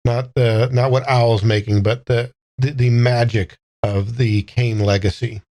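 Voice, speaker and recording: A man with a middle-pitched voice plays in an improvised tabletop game. He speaks thoughtfully and haltingly, choosing his words with care as he describes what his character does. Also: little pitch movement, a little mellow. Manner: halting, careful word choice. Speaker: player in a tabletop game podcast.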